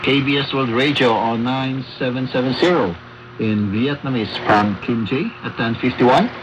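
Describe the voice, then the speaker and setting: A man's voice: mid-range voice, moderate pace. A man from the Philippines with a Filipino accent, reading a scripted report as a radio correspondent. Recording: noisy shortwave radio broadcast.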